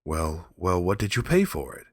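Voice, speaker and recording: A man's voice: low voice, sing-song, moderate pace, no echo, clean studio sound. A man voicing a brooding, determined man who speaks in an earnest, plain, insistent way. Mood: troubled concern. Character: brooding, determined Hawaiian man seeking a bottle.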